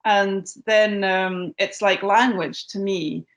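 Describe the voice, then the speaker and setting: A Scottish woman musician answering interview questions, thoughtful and measured, pausing often with ums and restarting her sentences. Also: medium pitch, fairly even intonation. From Scotland. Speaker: guest musician. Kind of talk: interview conversation.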